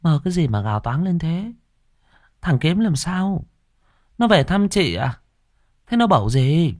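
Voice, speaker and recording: A man voicing a practical, concerned countrywoman, brisk and insistent, in an audio story. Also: fairly high pitch, big pitch swings, no room sound, studio quality, voice pushed up. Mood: startled, questioning. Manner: insistent questioning.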